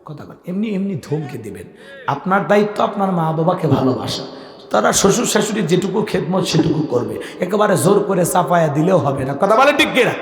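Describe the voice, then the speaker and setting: Bangladeshi guy preaching islamic stories, high voice, really impassioned, calls out to listeners, big echoey reverb. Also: big pitch swings, fairly quick. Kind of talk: emotive storytelling sermon to a gathering. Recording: echoey hall sound, long reverb.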